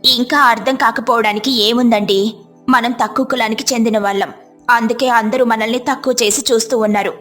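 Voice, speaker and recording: A woman voicing a poor, devout village wife in a moral story, gentle, respectful and plaintive. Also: on the high side, fairly even intonation, brisk, soft background music, natural voice. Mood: resigned.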